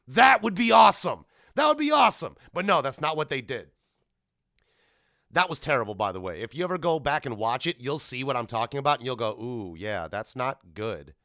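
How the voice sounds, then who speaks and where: Male podcast host, bright and punchy tone, dry sound. Casual, opinionated commentary, animated and sweary. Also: medium pitch, fairly quick, gravelly, no echo. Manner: animated, blunt, swears freely.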